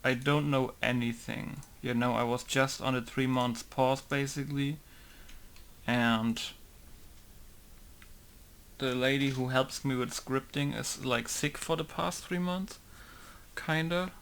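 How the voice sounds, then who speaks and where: A young man talks loosely over his game, drifting between thoughts and letting sentences fade to a mumble. His mid-pitched voice carries a lot of gravelly fry. He sounds unhurried and offhand throughout. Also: steady tone. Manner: rambling, laid-back, often trails off quietly. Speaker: video game modder. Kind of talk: casual commentary over gameplay.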